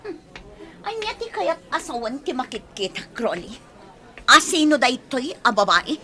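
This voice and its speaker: Filipino radio drama girlfriend, hurt and nagging, high bright voice. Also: very animated, unhurried, full character voice. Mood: accusing. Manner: emotional, scolding. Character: Bianca, hurt, nagging, thrifty girlfriend confronting her boyfriend. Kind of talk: Philippine radio drama acting.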